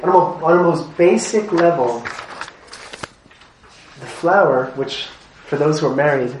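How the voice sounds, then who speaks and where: Man leading a casual class, quizzes the room; higher-pitched, recorded from across an echoey room. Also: measured pace. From Montreal, Canada. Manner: chatty, asks the group questions, laughs often. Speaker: rabbi teaching a class. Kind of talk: informal classroom lesson. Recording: echoey room, mic at a distance, faint noise.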